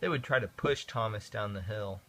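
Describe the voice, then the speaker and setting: A father reading a children's picture book aloud in an expressive, sing-song way. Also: on the low side, moderate pace, noisy background. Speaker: father reading to his kids.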